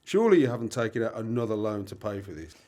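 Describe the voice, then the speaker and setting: A man's voice: lowish voice, big pitch swings, fast, darkish tone. A young British man playing a sensible, concerned friend who keeps questioning insistently, in an amateur radio play. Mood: worried.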